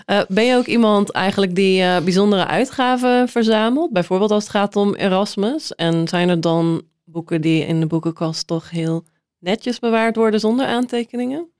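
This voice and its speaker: Smooth-voiced woman interviewer, close and dry. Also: medium pitch, moderate pace, darkish tone, no echo. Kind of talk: casual book interview.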